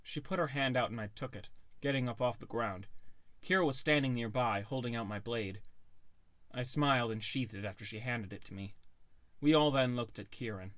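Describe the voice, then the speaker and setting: A man's voice: mid-range voice, normal speed, fairly bright tone, dry recording. A man reading a scripted first-person fantasy story as an audiobook narrator.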